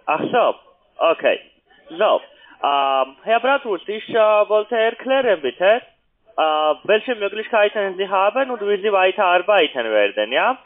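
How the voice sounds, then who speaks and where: A man with a foreign accent in German giving a hesitant, polite sales pitch as a call centre agent, often ending on little questions. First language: not German. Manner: hesitant, polite, repeatedly tags sentences with questions. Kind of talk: halting phone sales pitch. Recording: muffled, narrow telephone line.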